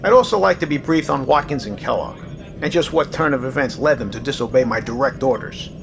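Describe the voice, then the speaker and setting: A male actor playing a composed, businesslike naval commanding officer with a measured, authoritative manner in an audio drama. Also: medium pitch, lightly acted. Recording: clear background noise, ambience.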